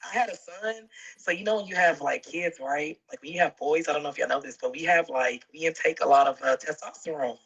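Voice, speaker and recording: A woman guest chatting casually on a live talk show, laughing often and speaking in an animated way. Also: low voice, a little fast, gravelly, slightly rough, dull audio. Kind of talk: casual chat.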